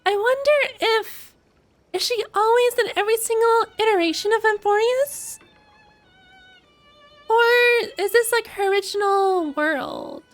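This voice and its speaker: Very high-pitched female game streamer. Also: animated, measured pace, soft background music. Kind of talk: casual reaction commentary.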